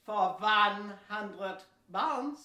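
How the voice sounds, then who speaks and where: Woman acting a slow, scheming bribe-offering agent. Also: mid-range voice, sharp tone. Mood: cool, scheming. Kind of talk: historical radio play acting. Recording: roomy, echoing room sound.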